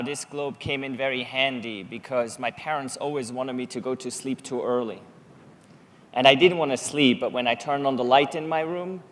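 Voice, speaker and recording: A middle-aged man from Switzerland, a native Swiss German speaker, giving a prepared public talk on a conference stage, telling stories and asking rhetorical questions. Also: mid-range voice. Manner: storytelling, measured, rhetorical questions.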